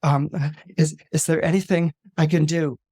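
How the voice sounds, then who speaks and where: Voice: mid-range voice, fairly even intonation, normal speed, dark tone, breathy edge, no room sound. Anxious protective queen in a told myth, played by the narrating storyteller: stammering, supplicant, repetitive, dry sound. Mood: apologetic. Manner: stammering, breathless pleading. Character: frantic young mother queen, protective, pleading.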